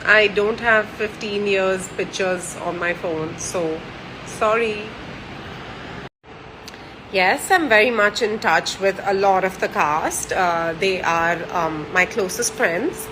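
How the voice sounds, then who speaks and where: A woman's voice: medium pitch, takes it slowly, background noise. A woman in her thirties from India, chatting cheerfully with frequent fillers and restarts while she answers questions. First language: Gujarati or French, not Hindi. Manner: warm and cheerful. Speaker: actress answering fan questions. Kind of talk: casual chat.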